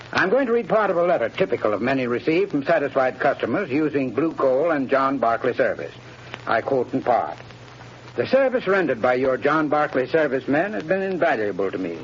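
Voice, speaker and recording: A man's voice: medium pitch, a little hiss or hum. A man reading a scripted sponsor's message on a 1930s radio show in an earnest, friendly salesman's delivery. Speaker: sponsor's spokesman. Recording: muffled 1930s radio broadcast.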